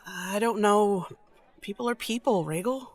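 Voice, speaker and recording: An actress playing a wary, skeptical human confidant in a fiction podcast drama, guarded and questioning. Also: female voice, medium pitch, a little slow, dry recording. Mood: cautious.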